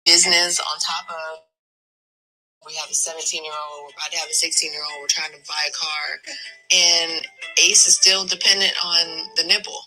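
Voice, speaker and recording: A middle-aged woman speaks candidly, as in a reality show confessional. Her voice is very bright and forceful, with music playing underneath. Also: deep voice, fairly even intonation, moderate pace, low-quality recording. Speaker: reality show cast member, mother. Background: background music.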